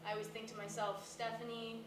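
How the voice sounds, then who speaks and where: An echoey, noisy recording of an actress playing a blunt, talkative woman in a stage play. Her voice sits fairly high, and she speaks in a casual, self-deprecating, naturalistic way with some swearing. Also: level tone, a little fast, distant mic, lightly acted. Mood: self-deprecating, candid. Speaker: actor in a staged play reading. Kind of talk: naturalistic stage dialogue before a live audience.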